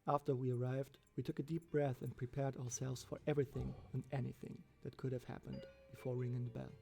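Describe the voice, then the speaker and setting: A man reading a scripted first-person horror story as its narrator, with a gravelly voice. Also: medium pitch, little pitch movement, brisk, mellow, soft background music.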